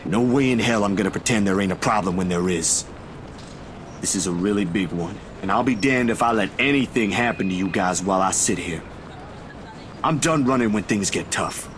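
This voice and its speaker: A man's voice: on the low side, brisk, noisy background, lightly acted. A man voicing a loyal, stubborn, good-hearted young everyman in a video game drama, speaking in an earnest, slangy way. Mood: defiant, resolute.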